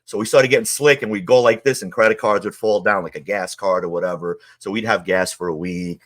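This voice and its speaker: A middle-aged man from Queens, his voice sitting low. He talks casually and rambles in a streetwise way, filling gaps with "you know" as he tells his stories in an interview. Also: fairly even intonation, a little fast. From Queens, New York. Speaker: interview guest. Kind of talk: casual storytelling.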